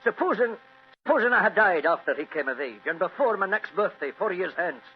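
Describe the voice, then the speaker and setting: A crusty old patriarch in an old radio play, wily and fond of a drink. His fairly high voice swings up and down with great life, on a dull recording with some hum. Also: big pitch swings, brisk, husky, exaggerated voice. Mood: gleeful. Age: older. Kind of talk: 1940s radio drama acting.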